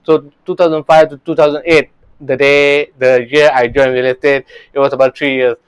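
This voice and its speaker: Singaporean guy in his 30s, coach talking to camera, smooth clear voice, dry close sound. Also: mid-range voice, level tone, moderate pace, no room sound, rough recording. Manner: earnest, many restarts and "you know, right?" tags. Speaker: real estate coach.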